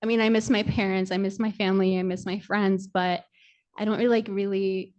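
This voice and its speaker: A woman's voice: mid-range voice, little pitch movement, brisk, a little mellow. A woman on a panel talking casually and hesitantly, searching for words. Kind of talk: panel discussion.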